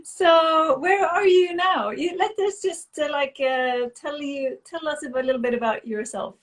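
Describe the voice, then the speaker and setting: A woman's voice: sits high, a little slow. Upbeat woman hosting a yoga live stream. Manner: upbeat, laughs often, many fillers and restarts. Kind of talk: casual live-stream chat.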